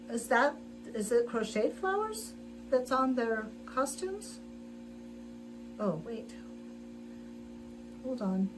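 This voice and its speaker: Chatty woman host over a noisy stream. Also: high voice. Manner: chatty, rambling, frequent laughs and restarts. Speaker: livestream host. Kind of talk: casual chat while sewing.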